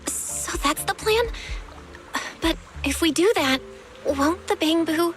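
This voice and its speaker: A high, youthful female voice for a game heroine. She is earnest and caring, quick to question and full of concern. Light music runs behind her. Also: a bit bright, exaggerated voice. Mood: hesitant, questioning. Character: earnest young female helper, caring and inquisitive. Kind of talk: video game cutscene voice acting.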